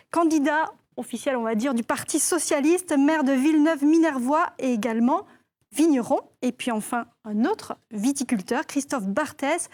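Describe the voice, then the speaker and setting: A woman presenting a televised political debate, introducing guests in a clear, measured way. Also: high voice, darkish tone. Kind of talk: broadcast debate hosting.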